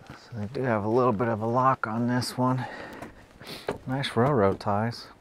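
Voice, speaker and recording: A man's voice: medium pitch, normal speed, slight background noise. A man casually describing an old airport site as he walks around it outdoors. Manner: relaxed, pointing things out as he walks. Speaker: travel vlogger. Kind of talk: casual exploring commentary.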